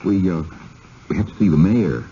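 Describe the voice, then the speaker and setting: An actor playing a wry middle-aged American professor, an easygoing city husband, in a 1970s radio drama. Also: male voice, low-pitched, lively intonation, moderate pace, dark tone. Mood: polite. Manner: wry, conversational. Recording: dull old radio broadcast, background noise.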